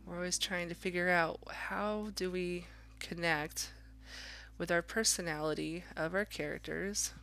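A woman with a fairly low, bright voice narrates a drawing demo as an instructor. She talks casually and encouragingly, thinking aloud as she works, with a little creak in her tone. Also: steady tone, a little slow. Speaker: art instructor.

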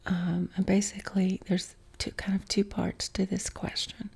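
A middle-aged woman from West Virginia with a softened Appalachian accent, whispering an unhurried question-and-answer chat from her living room couch, close to the mic. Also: mid-range voice, flat delivery, creaky, husky. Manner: unvoiced whisper, unhurried with many ums. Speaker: ASMR creator. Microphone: close mic, camera framed on torso.

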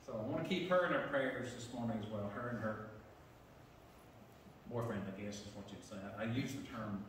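A middle-aged man leading prayer as pastor, plain-spoken with some halting fillers, heard from a pulpit mic in a large church sanctuary. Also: medium pitch, a little hiss or hum. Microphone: pulpit mic, camera far back. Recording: echoey sanctuary from far off.

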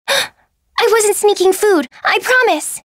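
A woman's voice: extremely high pitch, lively intonation, slow, fairly bright tone, no room sound, clean studio sound, exaggerated voice. A woman voicing a cute, perky young maid girl hosting a tea party in an anime-style game. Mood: perky, eager. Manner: perky, girlish, eager. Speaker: voice actor.

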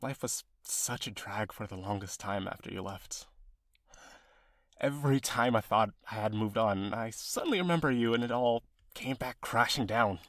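A young man playing a friendly, sentimental old friend in an audio roleplay, casual and expressive with some hesitation. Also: lowish voice, normal speed, no echo, clean studio sound, natural voice. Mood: nostalgic, wistful. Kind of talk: ASMR-style roleplay, one side of a conversation.

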